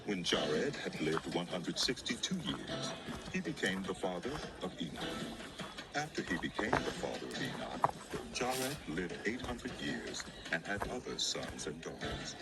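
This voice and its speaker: A male narrator reads scripture as solemn drama. His voice is low and moves expressively through the measured storytelling, with prominent music underneath. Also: sing-song, creaky, rough recording.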